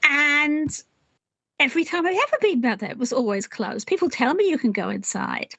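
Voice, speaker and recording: Chatty English woman, high voice. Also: animated, moderate pace, gravelly, a bit bright, no echo. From England. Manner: chatty, rambling with restarts and small laughs. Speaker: author and puzzle writer. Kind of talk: casual interview answers.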